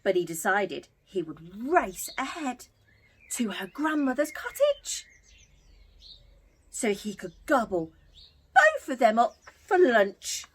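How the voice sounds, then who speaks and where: A woman telling a story to young children as an entertainer, theatrical and expressive. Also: on the high side, slow, pauses often, breathy edge.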